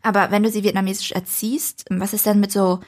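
A woman's voice: mid-range voice, level tone, brisk. A woman hosting a casual podcast, curious and chatty, asking questions with youthful slang. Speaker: podcast host.

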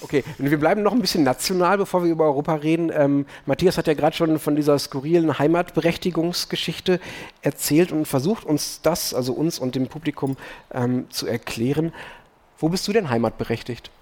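A man's voice: fairly high pitch, fairly quick, a little mellow. A man hosting and moderating a live panel chat, chatty, with hesitant fillers as he cites facts. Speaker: podcast host and moderator.

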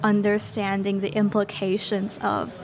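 A woman's voice: medium pitch, completely flat delivery, unhurried, over music. A woman in her twenties from Taiwan, a native Mandarin speaker, recalling her school years in a chatty interview. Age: 20s. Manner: chatty, with fillers like "like" and "um". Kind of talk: casual personal recollection.

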